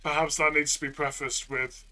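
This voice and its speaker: A man's voice: on the high side, level tone, normal speed, sharp tone. A man co-hosting a casual review discussion from a player's side, agreeable and conversational, feeling out his phrasing. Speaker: co-host and player.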